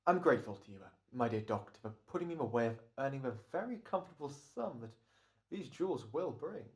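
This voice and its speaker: A man's voice: mid-range voice, expressive, quick pace, natural voice. Man playing a suave crook with swooping intonation. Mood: suave defiance. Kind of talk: old-time radio drama acting.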